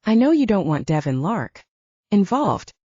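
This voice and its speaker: An easygoing young male werewolf from an audiobook romance, played by a woman narrator. He is playful and reassuring, with a soft mellow tone and very mobile pitch, recorded dry and slightly dull. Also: very animated, measured pace, slightly rough, no echo. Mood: earnest.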